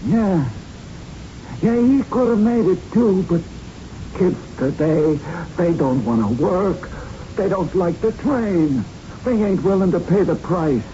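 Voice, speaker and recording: A world-weary old gym owner in a radio play. His voice is high and mellow, full of streetwise grumbling, on a dull old broadcast with faint noise. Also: lively intonation, slow. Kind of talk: radio drama acting.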